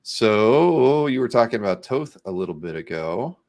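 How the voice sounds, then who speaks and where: A man with a low-pitched voice hosts a show. He speaks in an informal, conversational way, as in a casual interview. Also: big pitch swings, normal speed, darkish tone. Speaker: show host.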